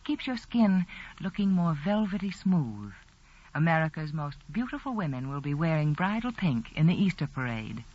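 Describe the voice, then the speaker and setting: Old time radio ad lady, persuasive and animated, muffled vintage sound. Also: low voice, moderate pace. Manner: persuasive, expressive. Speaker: advertising spokeswoman. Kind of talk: 1940s scripted radio cosmetics advert.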